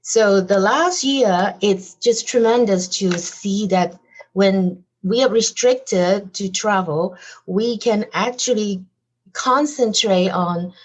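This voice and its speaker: A woman's voice: medium pitch, takes it slowly, poor audio. A woman guest on a podcast interview, talking animatedly about her design business with frequent fillers and light laughs. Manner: laughs lightly as she talks.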